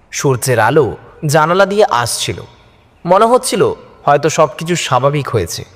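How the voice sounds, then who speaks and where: A man narrating a first-person horror story in a suspenseful, theatrical style. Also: fairly high pitch. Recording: music behind the voice. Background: background music.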